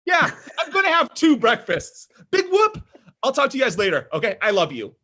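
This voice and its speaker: An actor as a needy, high-pitched whiny man. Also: wildly swooping pitch, normal speed, sharp tone, heavily acted. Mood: exasperated. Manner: exasperated, melodramatic, argumentative. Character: needy, whiny, self-dramatizing thirty-four-year-old son. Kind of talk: comedy script table read.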